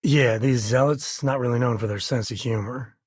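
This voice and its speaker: An actor playing a wry, laid-back former ranger and space explorer in a video game, a husky man talking in a folksy, conversational way. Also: mid-range voice, normal speed, no room sound, studio quality, close to an everyday voice. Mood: wry, casual. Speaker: video game companion character. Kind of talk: video game voice acting, casual dialogue.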